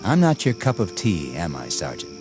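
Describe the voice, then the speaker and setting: An actor playing a zealous young army lieutenant in a radio drama, commanding and scornful in a clipped way. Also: male voice, deep voice, wildly swooping pitch, normal speed. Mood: scornful, needling. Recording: radio drama with music underneath.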